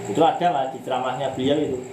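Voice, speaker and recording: Brief: male religious teacher, medium pitch, restarts words, echoey room with audible hiss. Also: steady tone, very fast. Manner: mixes in Javanese words, asks himself rhetorical questions; restarts words and draws out key phrases. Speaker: religious teacher leading a book study. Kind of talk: religious study lecture.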